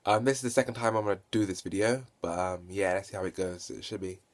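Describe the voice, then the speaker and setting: A man with a stutter giving an unscripted, enthusiastic game review, halting and often correcting himself, recorded on a handheld phone. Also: sits low. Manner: halting, self-correcting and repetitive. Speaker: video game reviewer and vlogger. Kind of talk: unscripted casual hands-on review.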